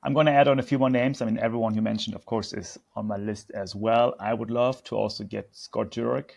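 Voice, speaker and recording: Gravelly man, lowish voice. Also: fairly even intonation, a little mellow. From Germany. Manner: thoughtful, slightly halting, with short affirmations. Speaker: podcast co-host. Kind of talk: casual podcast chat.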